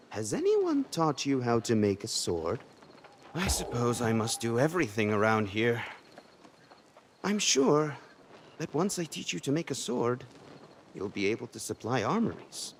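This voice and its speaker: A very animated, friendly game character, a craftsman. He sounds warm, effusive and encouraging, his mid-pitched voice swooping up and down. There is faint background noise in the game audio. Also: creaky, full character voice. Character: friendly, encouraging Syrian man, a helpful islander craftsman. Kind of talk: video game character dialogue.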